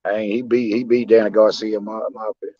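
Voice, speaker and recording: A man's voice: fairly low pitch, little pitch movement, fast, lo-fi sound. A man co-hosting a casual boxing chat, slangy and teasing, repeating his phrases. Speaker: boxing podcast co-host.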